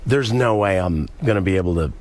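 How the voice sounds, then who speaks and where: A man's voice: low-pitched, brisk, darkish tone. An American man speaking as a radio interview guest, telling candid personal stories casually, often repeating words and acting out quoted dialogue. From United States, Missouri. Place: radio studio.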